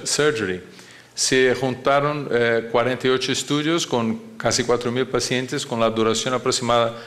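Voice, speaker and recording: A man who is not a native Spanish speaker gives a medical lecture, often halting as he searches for words. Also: medium pitch, a bit bright. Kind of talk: conference lecture.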